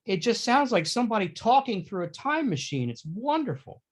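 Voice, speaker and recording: A man's voice: high-pitched, big pitch swings, normal speed, slightly rough. A man talking casually as a guest in a panel discussion about his craft. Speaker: panel guest, accent-certified performer.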